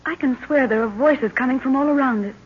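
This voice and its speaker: A woman's voice: high voice, a little fast, natural voice. An actress playing an uneasy, sensitive young woman on a jungle trek in a 1930s radio adventure, plaintive and dramatic. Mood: fearful. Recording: muffled, noisy 1930s radio recording.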